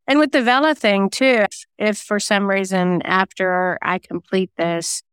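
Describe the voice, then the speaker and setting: A woman's voice: on the low side, smooth, dry recording. A woman answering interview questions in a chatty, informal way, explaining at length as a guest. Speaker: guest, singer-songwriter and self-published novelist.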